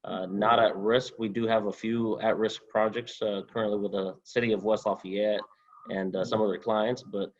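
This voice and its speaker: Man, 20s, from Honduras, flat delivery, halting with fillers, muffled call sound. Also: on the low side. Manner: halting, many uh fillers, steady. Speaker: alumnus guest. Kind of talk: interview answers.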